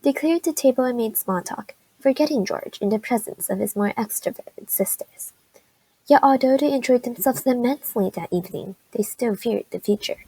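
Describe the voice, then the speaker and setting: A woman delivers scripted story narration for a radio play. Her voice is slightly high yet mellow, with a gravelly creak. The sound is dry. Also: moderate pace, no room sound, rough recording.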